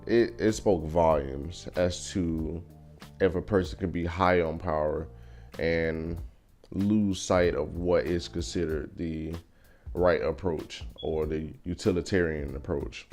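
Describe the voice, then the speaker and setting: A young man talking through his opinions in a casual vlog, thoughtful and measured, with frequent fillers. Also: deep voice, steady tone, darkish tone, faint music behind. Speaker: vlogger. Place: car.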